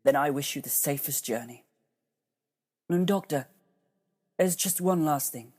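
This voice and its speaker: A man playing an earnest, awed and grateful painter in an audio drama, deferential, with a husky voice. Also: fairly high pitch, measured pace, a little mellow.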